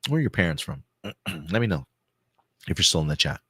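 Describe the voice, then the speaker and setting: Male host, low-ish voice, dry close mic, chatty and loose, slightly hoarse. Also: sing-song, a little fast, sharp tone, dry recording. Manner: chatty, loose, lots of fillers and repeats. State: voice getting hoarse. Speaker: host of a pop-culture news show. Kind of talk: live-stream casual commentary.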